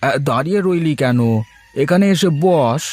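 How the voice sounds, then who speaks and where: A man's voice: a little high, normal speed, darkish tone, natural voice. A man acting as a genial middle-aged villager in a cartoon, a proud father. He speaks heartily and eagerly, with faint music behind him. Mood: eager, friendly. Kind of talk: cartoon story dialogue. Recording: music under the voice.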